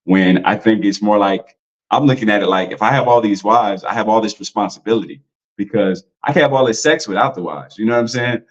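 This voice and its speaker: A man's voice: fairly low pitch, fairly quick, dull audio. A man in a casual podcast talk, loose and slangy, full of "like" and "you know". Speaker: podcast guest.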